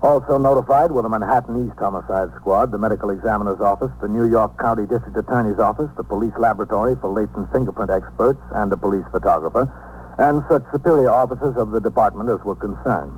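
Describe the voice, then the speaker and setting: A man's voice: fairly low pitch, fast talker, a little mellow, close to an everyday voice, low-quality recording. Authoritative American police captain played by a man, terse and matter-of-fact, 1950s radio crime drama, hissy recording. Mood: measured, official. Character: commanding, businesslike New York police captain, male.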